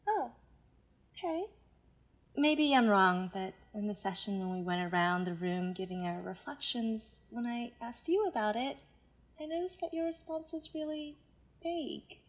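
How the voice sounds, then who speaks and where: An actress playing a patronizing self-help mentor who presses with controlling, condescending insistence in an audio drama. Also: female voice, fairly high pitch, a little slow, natural voice. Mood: probing, doubtful. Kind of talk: naturalistic audio drama acting.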